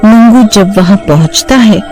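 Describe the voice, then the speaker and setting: Slow-paced female storyteller. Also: medium pitch. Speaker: story narrator. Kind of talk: scripted children's horror story narration. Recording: music behind the voice.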